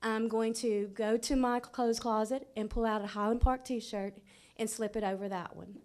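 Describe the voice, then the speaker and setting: A woman's voice: fairly high pitch, fairly even intonation, normal speed, darkish tone. A woman school principal, speaking candidly at a board meeting. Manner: candid, conversational, with fillers.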